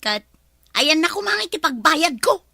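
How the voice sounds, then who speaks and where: An actress with an Ilocano accent playing a dry, defiant, long-suffering wife in a radio comedy drama. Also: female voice, high-pitched, sing-song, normal speed, vocal fry, pushed and bright, no room sound, exaggerated voice.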